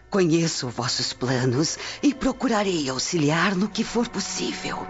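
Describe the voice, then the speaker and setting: An actress playing a greedy old Roman sorceress in a radio drama, speaking in a formal, oracular manner. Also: female voice, deep voice. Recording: dull lo-fi radio drama recording with music. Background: music.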